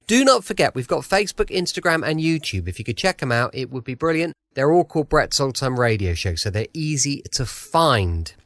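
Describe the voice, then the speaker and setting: A man's voice: mid-range voice, big pitch swings, a little fast, hardly any pauses, a bit bright, no room sound, studio quality. A British man hosting a podcast from home in a chatty, enthusiastic, casual way. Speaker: podcast host.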